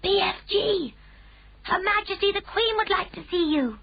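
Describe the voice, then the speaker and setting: A woman's voice: normal speed, muffled recording. A woman doing an eager little girl. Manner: high, eager, excited speech.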